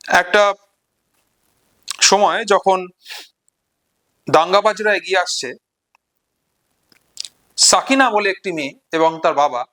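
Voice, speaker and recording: A man with a Bengali accent presenting literature, retelling and explaining stories in a deliberate way with pauses and repeated phrases for emphasis. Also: high voice, pushed and bright. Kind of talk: explanatory storytelling and literary commentary.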